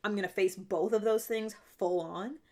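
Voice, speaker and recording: A woman's voice: mid-range voice, steady tone, normal speed, darkish tone. Woman talking casually to her viewers. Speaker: video host sharing self-growth advice.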